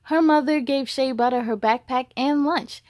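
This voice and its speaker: A woman's voice: sits high, moderate pace, darkish tone, no echo, clean studio sound. A woman reading a children's storybook aloud as the host, with casual asides.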